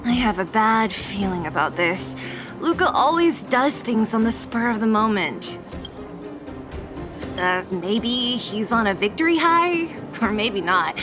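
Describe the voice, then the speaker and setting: A woman's voice: on the high side, expressive, measured pace, over music. An actress voicing a blunt young female resistance fighter in a video game, with a wry, exasperated manner. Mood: wry, doubtful. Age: young adult.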